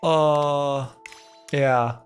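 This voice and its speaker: Woman gamer, very deep voice, casual commentary, thinking aloud, music underneath. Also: steady tone, drawn-out words, clean tone. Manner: muttering to self while thinking. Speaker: gaming streamer.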